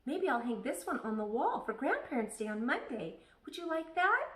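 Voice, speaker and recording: A woman voicing a patient but firm kindergarten teacher in a children's storybook, measured and instructive. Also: high-pitched, sing-song, distant mic, background noise, pitched above the performer's own voice. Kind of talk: children's storybook read-aloud. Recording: echoey room.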